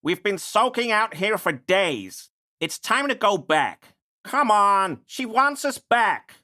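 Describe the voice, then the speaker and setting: Game role: fussy, defensive male cryptid obsessive; pedantic and wordy, lively swooping intonation, close dry sound. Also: high voice, slow pace, no echo, heavily acted. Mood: grumbling, impatient. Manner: pedantic, wordy, evasive, prone to grumbling. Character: fussy defensive man, amateur cryptid enthusiast and conspiracy believer. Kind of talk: video game character dialogue.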